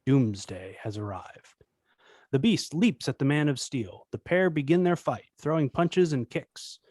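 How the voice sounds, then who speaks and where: A man's voice: medium pitch, lively intonation, unhurried, a little mellow, no room sound, studio quality. A man narrating the stage directions of an action screenplay at a table read.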